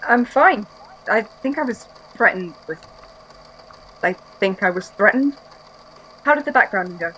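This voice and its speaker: A woman's voice: medium pitch, animated, a little slow, pauses often, sharp tone, slight background noise. Woman playing a guarded archivist, muffled recording. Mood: shaken. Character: wary, politely reserved statement-taking archivist. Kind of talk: audio drama dialogue.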